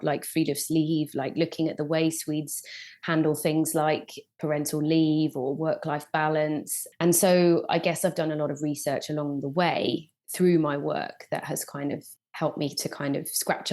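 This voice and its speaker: A woman in her forties, a journalist, giving thoughtful, detailed answers in a relaxed interview, with frequent "kind of" fillers. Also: deep voice. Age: 40s. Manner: reflective, thorough, many "kind of" fillers. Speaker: guest journalist.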